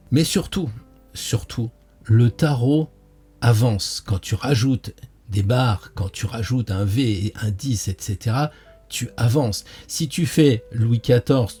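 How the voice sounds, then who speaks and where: A man's voice: medium pitch, animated, slow pace, dark tone, breathy edge, soft background music. A man hosting a tarot channel, explaining in a casual, playful way and talking straight to the viewer, with frequent hesitations. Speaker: tarot teacher and channel host.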